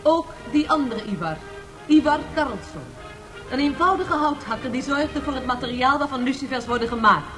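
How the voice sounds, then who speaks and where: A woman's voice: high-pitched, expressive, moderate pace, music in the background. A woman playing the storytelling narrator of a historical radio drama, in scripted dramatic delivery. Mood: solemn.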